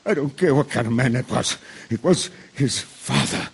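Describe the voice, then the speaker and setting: An actor playing an angry, stubborn juror and bitter father in a radio drama, combative and emphatic, with a husky voice. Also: medium pitch, normal speed. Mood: anguished. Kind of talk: stage-style radio drama acting.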